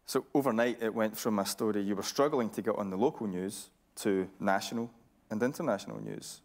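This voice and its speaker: A man hosting a journalistic interview on stage, deliberate and pausing mid-phrase to stress his points. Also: on the low side. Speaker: interviewer and host. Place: radio theatre stage.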